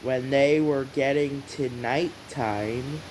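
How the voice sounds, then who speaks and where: Story narrator, very slow and halting, mid-range voice, noisy hissy home recording. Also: mid-range voice. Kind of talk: scripted story narration, read aloud slowly with pauses.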